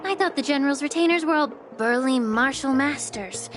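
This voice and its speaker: Gentle young game investigator girl, high polite voice, music under it. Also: fairly quick. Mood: grateful, friendly. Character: polite, thoughtful young female alchemy investigator. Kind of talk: video game story dialogue. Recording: music behind the voice.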